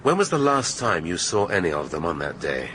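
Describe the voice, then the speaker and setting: An actor playing a brusque, irascible police chief inspector in a radio drama, blunt and probing. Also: male voice, deep voice, big pitch swings, a little fast, a bit bright, a little hiss or hum.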